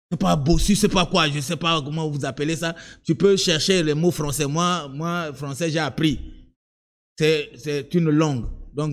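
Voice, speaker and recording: Fervent preacher with a rising, sing-song voice. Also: male voice. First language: not French. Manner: emphatic, swings from low asides to high sung-out cries; repeats words and restarts, talks to the listener directly. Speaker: apostle preaching. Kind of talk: sermon.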